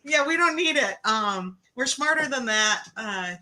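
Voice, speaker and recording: A woman in her forties co-hosting a casual interview, laughing often and filling pauses with "um.". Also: on the high side, animated, background noise. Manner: laughs often, many filler ums. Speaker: podcast co-host.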